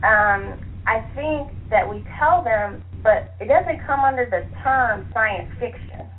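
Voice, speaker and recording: A woman with a fairly high voice talks as an interview guest over a narrow, phone-like connection. She explains things conversationally, thinking aloud and reaching for examples. A faint noise sits on the line. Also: expressive, takes it slowly. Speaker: interview guest, author and librarian. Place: remote call to internet radio show.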